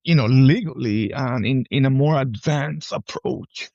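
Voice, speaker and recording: A man's voice: mid-range voice, creaky, darkish tone, no room sound, highs cut off. A man in his forties from Puerto Rico, chatting casually as a guest and often repeating words. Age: 40s. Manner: halting, repeats words, often checks with "right?". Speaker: podcast guest, army veteran. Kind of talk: casual personal conversation.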